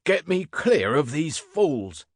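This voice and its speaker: A commanding Victorian police inspector, acted by a male audiobook reader, gruff and short-tempered. He speaks bluntly and imperiously, with big swings in pitch. The sound is dry. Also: animated, normal speed, fairly bright tone, no echo. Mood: indignant.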